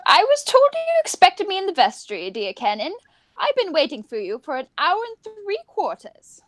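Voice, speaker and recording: A woman's voice: very high-pitched, wildly swooping pitch, moderate pace, full character voice. A woman playing a prim, flustered spinster governess in a radio play, earnest, proper and overwrought. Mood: fluttery.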